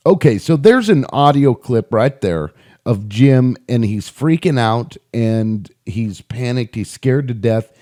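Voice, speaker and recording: A man with a dark, relaxed voice at a middle pitch presents informal video commentary. He talks in an emphatic, explanatory way and repeats his points. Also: slow. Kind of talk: informal opinion commentary over audio clips.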